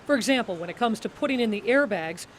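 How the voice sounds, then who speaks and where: A woman's voice: on the high side, animated, fast, a little hiss or hum. A middle-aged woman, a TV news reporter, delivering scripted news narration.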